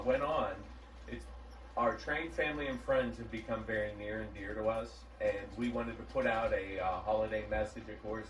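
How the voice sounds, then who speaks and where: A man in his forties speaking as a host, giving a holiday message and reading a storybook aloud. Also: mid-range voice. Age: 40s. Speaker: host of a model railroad channel. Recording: roomy, distant mic, faint background noise.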